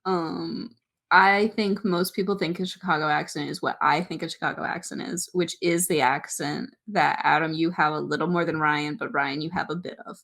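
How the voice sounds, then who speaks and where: A young woman co-hosting a podcast in casual chat, giggly and animated, full of "like" and "um". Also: low-pitched, moderate pace, creaky. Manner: giggly, filler-heavy, animated. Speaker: podcast co-host.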